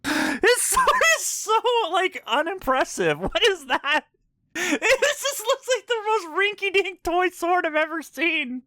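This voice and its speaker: A male game streamer with a bright, forceful voice that swings widely in pitch. He reacts casually and excitably in loose slang, talking close to the mic. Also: slow, no room sound. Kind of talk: casual live playthrough commentary.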